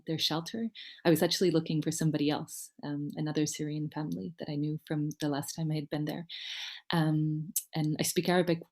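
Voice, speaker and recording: A woman's voice: low-pitched, a little mellow. A woman giving a personal storytelling talk as a guest speaker, reflective and hesitant as she picks her words with care. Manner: reflective, hesitant, carefully worded. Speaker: guest speaker, humanitarian worker.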